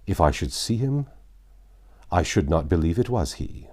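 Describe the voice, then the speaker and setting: A man voicing a nervous, insistent gentleman engineer in a storybook, speaking formally and emphatically. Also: low voice, sing-song, moderate pace.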